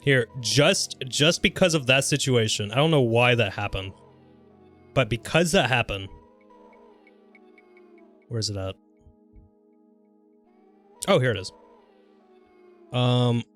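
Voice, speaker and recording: A man live-streaming a game with casual, exclamatory commentary, reacting out loud and swearing freely. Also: medium pitch, expressive, slow pace, long pauses, faint music behind.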